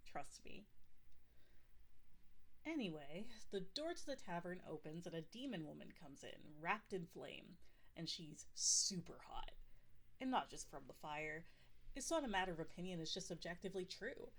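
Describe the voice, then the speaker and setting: A woman's voice: lowish voice, very animated, moderate pace. A woman playing a possessive, insistent yandere girlfriend who runs a tabletop game in an ASMR roleplay, rambling with defensive asides and close to the mic. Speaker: game master. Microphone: close binaural microphone.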